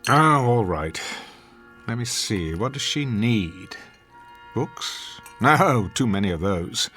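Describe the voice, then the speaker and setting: An actor playing a pompous, sardonic, people-hating theater critic and husband in a radio drama, with an acerbic, theatrical manner. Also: male voice, fairly low pitch, lively intonation, takes it slowly, sharp tone, soft background music, heavily acted. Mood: sardonic, scornful.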